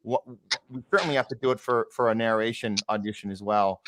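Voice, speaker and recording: A man's voice: lowish voice, brisk, background noise. A man hosting a casual interview as a director and actor, talking emphatically and building on what others say.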